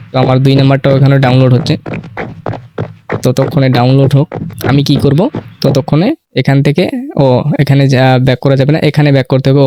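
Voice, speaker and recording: Fast-talking man hosting a casual tutorial. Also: mid-range voice, darkish tone, dry recording. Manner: informal, repeatedly checking listeners follow. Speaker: tutorial host. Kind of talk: casual step-by-step screen tutorial.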